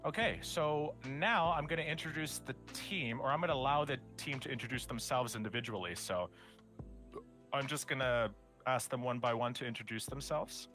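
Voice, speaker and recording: A man's voice: medium pitch, moderate pace, a bit bright, faint music behind. A man hosting a casual live stream, talking loosely with restarts and fillers. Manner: rambling, with many restarts and fillers. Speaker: host of a game studio demo stream.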